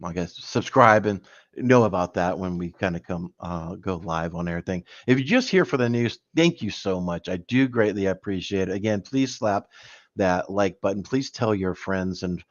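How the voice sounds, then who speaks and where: Rambling low-voiced man hosting a stream. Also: normal speed, no room sound, dull audio. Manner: rambling, many fillers and restarts. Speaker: guest host of a live cyber news stream. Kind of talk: casual livestream chat.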